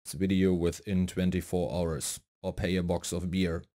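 A man voicing a comic challenge announcer through a pitch-shifting effect. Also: flat delivery, moderate pace, dark tone. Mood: flat, commanding.

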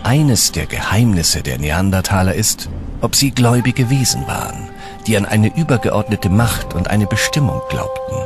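A man narrates a documentary in over clearly audible music. His voice sits on the low side and moves with lively intonation. The delivery is measured and dramatic, like scripted storytelling. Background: background music.

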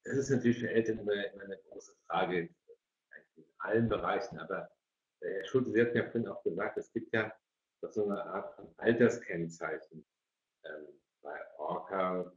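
A man's voice: sits low, normal speed. A middle-aged man moderating an online Q&A and putting questions to panelists over a video call. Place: indoor room, video call. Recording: roomy, echoing, dull video call.

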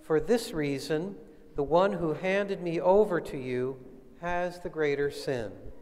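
A man reading the part of a calm, unyielding holy prisoner, solemn and measured, in a scripture reading. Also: a little high. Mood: solemn, firm. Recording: long echoing hall reverb.

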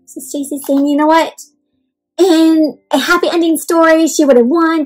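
A young woman reading a picture book aloud to children in an animated, interactive way, stopping often to ask her young listeners questions. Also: high voice, poor audio. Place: indoors in front of a leafy backdrop.

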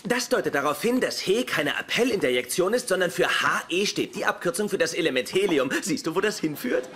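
A pedantic male genius played by an actor, smug and precise, lecturing as in a sitcom audio play. His voice swings lively up and down, with noticeable noise behind it. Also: high-pitched, fairly quick. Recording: noisy, with clear background ambience.

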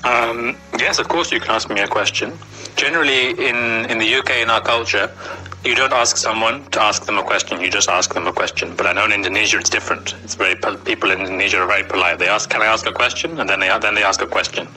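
Young man, bright lowish voice, measured explainer, voice-message sound. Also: fairly even intonation, faint music behind, rough recording. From Wales. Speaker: interviewee. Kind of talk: voice message replies.

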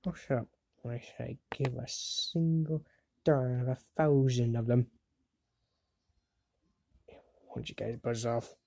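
A woman's voice: very deep voice, takes it slowly, long pauses, warm tone, slight background noise, heavily acted. A woman voicing a bratty, hot-tempered young boy wizard in an amateur cartoon fandub, sneering and exasperated. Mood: scornful, irritated.

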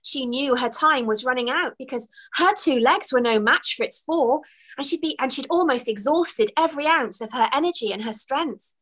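A young woman reading her own children's book aloud over a video call from a room at home, expressive with gasps and small laughs. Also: high voice, moderate pace. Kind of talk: storybook narration. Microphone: webcam on a video call.

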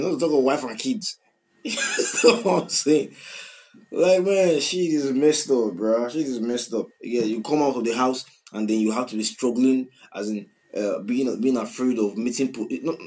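A man's voice: medium pitch, a little fast. Nigerian man in his twenties, emotional reactor. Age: 20s. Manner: emotional, animated, swings from loud outbursts to quiet mumbling. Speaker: music reaction host. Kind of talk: casual reaction commentary. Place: small room indoors. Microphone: webcam at desk, wearing headphones.

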